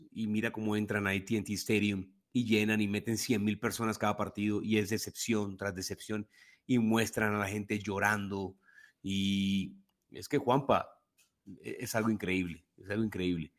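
Colombian guy on a sports podcast, lowish voice, rambles and restarts a lot. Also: steady tone, moderate pace. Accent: Colombian Spanish. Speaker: podcast co-host. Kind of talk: casual sports talk.

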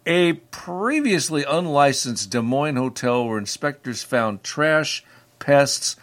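A man's voice: medium pitch, expressive, takes it slowly, a bit bright. A man co-hosting a casual podcast, reading news items aloud with sardonic jokes and some stumbles. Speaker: podcast co-host.

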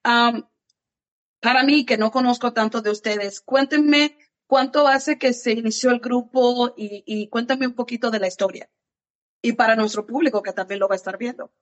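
A woman's voice: fairly high pitch, moderate pace, highs cut off. A woman hosting a casual video-call interview, chatty and encouraging. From Dominican Republic. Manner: chatty and encouraging, laughs easily. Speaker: host.

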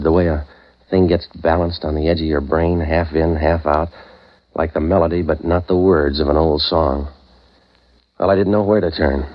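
Guy acting old radio noir tough guy, american, real low voice, rattles it off fast, deadpan and cynical. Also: natural voice. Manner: terse, deadpan, wisecracking. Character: hard-boiled, cynical, weary man for hire in trouble. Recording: dull vintage 1940s radio recording.

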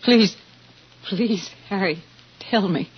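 A woman's voice: mid-range voice, slow, slightly rough. An actress playing an anguished, pleading wife and mother in an emotional 1940s radio melodrama. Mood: hushed pleading. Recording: muffled, hissy old radio recording.

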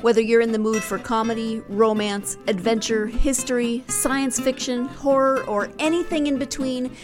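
A woman's voice: on the high side, level tone, moderate pace. A woman reading scripted promotional narration as a podcast announcer, with music behind her. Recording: clear music bed behind.

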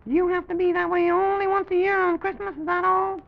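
Fussy little president of a children's toy land: extremely high, crackly voice, flustered rambling, muffled vintage recording. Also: male voice, level tone, brisk. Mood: fretful. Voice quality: high, creaky. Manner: fussy, folksy exclamations, rambling and repeating himself. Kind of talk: old-time children's story show character acting. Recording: dull, lo-fi vintage broadcast recording.

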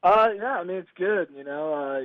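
Tinny phone call, man in his twenties, slightly high pitch, casual slangy interview guest, laid-back. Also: lively intonation, normal speed, no room sound. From California, United States. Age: 20s. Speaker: baseball player, interview guest. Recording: tinny narrow phone line.

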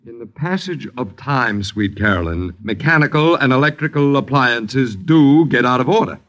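An actor playing an uppity, needling boarder in a 1950s radio drama, with florid diction and mock politeness. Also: male voice, mid-range voice, animated, normal speed, a bit bright, lo-fi sound, close to an everyday voice. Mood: sardonic. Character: suave, sardonic, uppity boarder who needles his landlords.

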